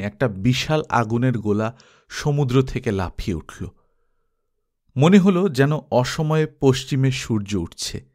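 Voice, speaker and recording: A male storyteller reads dramatic scripted fiction. His delivery is expressive and full of suspense. The voice is medium in pitch, with very animated rises and falls. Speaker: audiobook storyteller.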